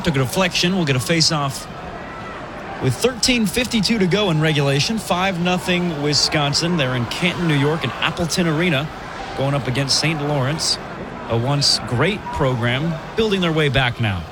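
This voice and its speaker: A man calling live women's college hockey play-by-play, brisk and chatty, laughing easily between plays. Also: fairly high pitch, expressive, background noise. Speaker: play-by-play commentator.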